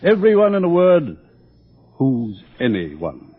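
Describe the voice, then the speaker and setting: A man's voice: medium pitch, huge pitch swings, takes it slowly, a little hiss or hum. A man playing an urbane, smug older world controller in a theatrical radio drama, pompous and patronizing as he lectures. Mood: dry, commanding. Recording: dull, lo-fi radio drama recording.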